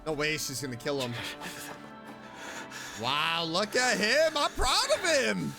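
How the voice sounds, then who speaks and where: A man's voice: a little high, takes it slowly, creaky, music in the background. A man giving playful, teasing commentary while playing a story game. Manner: playful, animated reactions, teasing. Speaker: streamer. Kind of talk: gameplay commentary.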